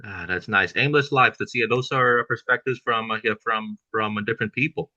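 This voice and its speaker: A man hosting a podcast interview with casual questions, speaking haltingly and repeating words, on a dull lo-fi remote call. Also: medium pitch, bright, forceful tone, dry recording. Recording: dull lo-fi voice track.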